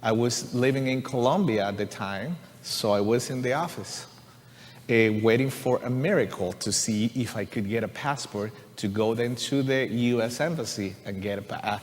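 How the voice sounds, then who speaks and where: A man's voice: mid-range voice, normal speed. Man sharing testimony in a reverberant church. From Venezuela. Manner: earnest, conversational, leans on "you know" and "right?". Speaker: church musician and composer. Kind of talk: personal testimony to a congregation. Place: church sanctuary. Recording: long reverb of a large church hall.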